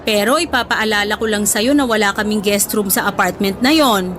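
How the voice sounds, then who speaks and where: A woman's voice: mid-range voice, normal speed, fairly bright tone, a little hiss or hum, lightly acted. A woman acting a middle-aged mother in a Tagalog radio drama, fondly scolding her grown son with sighing interjections. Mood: exasperated affection. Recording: music bed under the voice.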